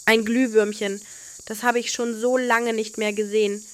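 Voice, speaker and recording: A woman voicing a curious, gentle young girl full of wonder in a children's bedtime story. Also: on the high side, flat delivery, moderate pace, clear, resonant tone, no echo, close to an everyday voice.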